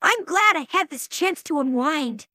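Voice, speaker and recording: A woman's voice: sits high, lively intonation, unhurried, bright, forceful tone, no echo, voice pushed up, exaggerated voice. A woman voicing a proud tomboy female pony from a cartoon story, self-conscious and a little awkward. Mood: polite, relieved.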